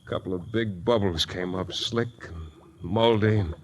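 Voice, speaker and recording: An actor playing a tough, wry private detective, narrating and talking in clipped, intense 1940s radio style. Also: male voice, sits low, moderate pace, slight background noise, close to an everyday voice. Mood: urgent, grim. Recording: 1940s radio broadcast recording.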